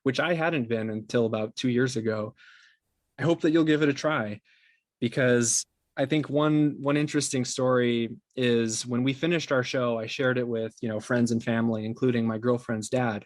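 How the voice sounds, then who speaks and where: A man's voice: mid-range voice, steady tone, normal speed, dry recording. A man speaking conversationally as an interview guest, earnest and appreciative in manner. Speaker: interview guest, podcast company co-founder.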